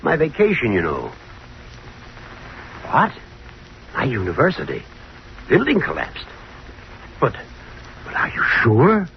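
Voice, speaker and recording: An actor playing a flustered, pompous university head in an old-time radio drama, blustering and indignant, on a muffled old recording. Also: male voice, medium pitch, wildly swooping pitch, takes it slowly, vocal fry, long pauses, a little hiss or hum, heavily acted. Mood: irritated.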